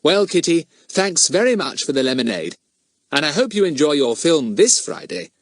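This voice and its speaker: A man voicing a confident, cheeky boy detective in a children's storybook, brisk and well-mannered. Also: fairly high pitch, very animated, dry recording, pitched above the performer's own voice. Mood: polite, teasing.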